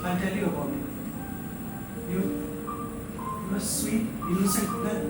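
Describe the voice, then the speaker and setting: An actor playing a lonely, restless drifter who rambles in a theatrical, halting way, circling back over words. The delivery is slow and deliberate, heard from far off in a very echoey theatre with faint music behind. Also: mid-range voice, close to an everyday voice. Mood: subdued, reminiscent. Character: lonely, restless, rambling rooming-house drifter baring their life. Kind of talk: live stage play monologue. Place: indoor theatre stage.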